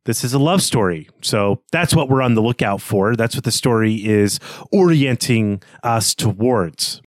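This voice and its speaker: A man co-hosting a podcast, giving a prepared story summary in a measured, explanatory manner. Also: medium pitch, expressive, no echo. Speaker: podcast co-host.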